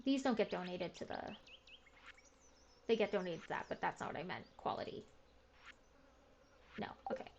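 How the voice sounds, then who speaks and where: A woman's voice: on the low side, animated, normal speed, gravelly, pauses often, a little mellow, a little hiss or hum. A young woman, chatting in a rambling, filler-heavy, self-deprecating way while playing a game. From Canada. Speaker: gaming video host. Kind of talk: casual chat while playing a farming game. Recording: slightly muffled with faint game music.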